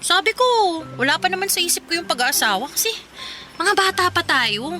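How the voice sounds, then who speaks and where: A woman's voice: extremely high pitch, animated, brisk, music in the background. A woman playing a playful, ambitious college girlfriend in a radio drama, speaking conversationally with a Filipino accent. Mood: playful, affectionate.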